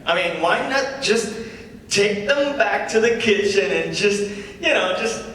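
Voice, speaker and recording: A man's voice: high voice, unhurried, sharp tone. A young man, a student actor in a staged script reading, playing a cocky, flippant stand-up comedian with a casual, conversational delivery. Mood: cocky stand-up patter. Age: young adult. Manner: casual, conversational with rhetorical tag questions. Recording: echoey hall from far off, faint noise.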